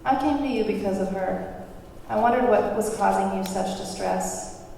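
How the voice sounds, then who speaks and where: A woman's voice: mid-range voice, normal speed, mellow, lightly acted. A woman playing a flippant, sweary, streetwise character in her mid-twenties at a script table read. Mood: confiding. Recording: echoey room, distant mic, background noise.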